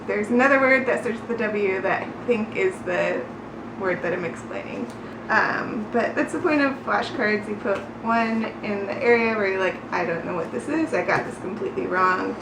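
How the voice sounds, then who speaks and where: A woman's voice: medium pitch, moderate pace. A woman chatting casually in a study vlog, informal and self-deprecating, with an encouraging way of talking. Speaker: vlogger learning a language. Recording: roomy echo, clear background noise.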